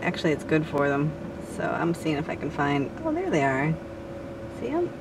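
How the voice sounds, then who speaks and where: A middle-aged woman vlogs her family day in a lowish voice. She chats casually with children, relatives and viewers. The handheld recording carries loud ambient noise. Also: sing-song, normal speed. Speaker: family vlogger and mother. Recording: noisy handheld home-video recording.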